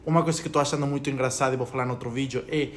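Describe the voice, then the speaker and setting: A man's voice: medium pitch, steady tone, rapid-fire, slight background noise. A young man from Ecuador with a Spanish accent in Portuguese, a vlogger talking to camera in an opinionated, rambling way. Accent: Spanish-speaking Ecuadorian accent in Portuguese. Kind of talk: casual talk to camera.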